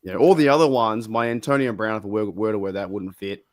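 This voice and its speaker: A man's voice: lowish voice, steady tone, fast talker. An Australian man co-hosting a podcast over a video call, chatting casually, swearing and laughing, his voice a little worn. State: losing his voice. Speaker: podcast co-host. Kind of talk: casual chat.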